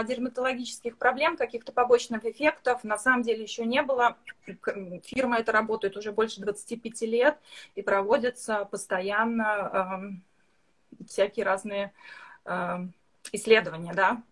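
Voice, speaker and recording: A woman with a somewhat high voice talks through her clinic work at a measured pace. She stops often on drawn-out filler sounds. Her tone stays explanatory and careful. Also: steady tone. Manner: hesitant, many filler sounds, occasional slips between languages. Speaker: medical permanent makeup specialist. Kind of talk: online live interview.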